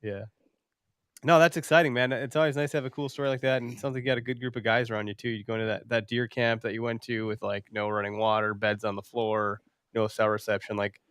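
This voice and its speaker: A man's voice: lowish voice, flat delivery, quick pace, fairly bright tone, clear, resonant tone, no echo, studio quality. A man hosting a podcast in casual chat, informal and bantering, with some swearing. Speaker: podcast host.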